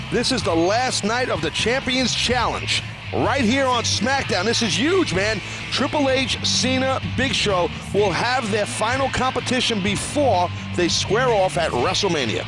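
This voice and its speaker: A man's voice: sits high, low-quality recording. A man playing a hyped American wrestling show announcer in a video game, presenting to viewers. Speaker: wrestling broadcast host.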